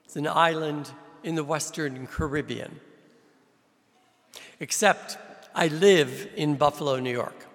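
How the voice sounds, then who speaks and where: A man preaching a sermon as a visiting priest, from New Jersey, with slow, deliberate delivery and emphatic rises. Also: fairly high pitch, a bit bright. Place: large church with long reverb. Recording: reverberant church hall.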